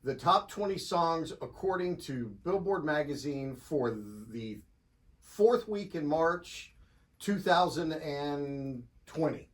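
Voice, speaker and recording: A man's voice: on the high side, lively intonation, takes it slowly. A man in his fifties, a country radio host, explaining things in casual interview chat with dry humor. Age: 50s.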